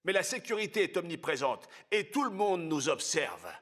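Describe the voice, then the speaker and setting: High-voiced, confident young football hero. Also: male voice, very animated, moderate pace, fairly bright tone, soft background music, heavily acted.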